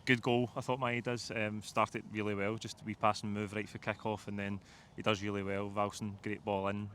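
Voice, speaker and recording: A Scottish man with a lowish voice hosts a football reaction chat. His delivery is extremely flat but brisk, and there is faint outdoor noise behind him. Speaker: host of football fan show. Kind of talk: post-match reaction chat. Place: outdoors outside a football stadium.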